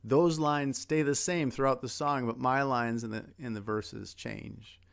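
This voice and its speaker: A man's voice: mid-range voice, steady tone, measured pace, creaky. An American man from Minnesota talking casually and conversationally as a singer-songwriter introducing a song and teaching a sing-along. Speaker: singer-songwriter and guest performer. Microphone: performing over an online video stream. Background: acoustic guitar being strummed and tuned.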